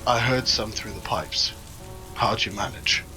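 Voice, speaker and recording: An actor playing a cold, controlled criminal go-between in a noir audio drama, formal, curt and disdainful. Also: male voice, lowish voice, measured pace, over music, close to an everyday voice, poor audio. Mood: cool, stern. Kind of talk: scripted audio drama dialogue.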